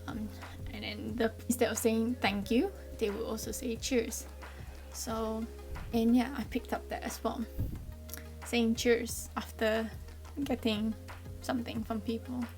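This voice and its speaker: Young Malaysian woman vlogger, brightish voice, chatty, lots of ums, soft music under her. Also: fairly high pitch, flat delivery, measured pace. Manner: chatty, friendly, frequent ums. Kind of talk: casual explainer vlog.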